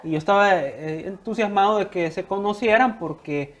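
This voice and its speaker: Higher-voiced Salvadoran co-host, chatty. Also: male voice, normal speed, slight background noise. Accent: Salvadoran Spanish. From Chalchuapa, El Salvador. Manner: enthusiastic, chatty. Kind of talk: casual conversation.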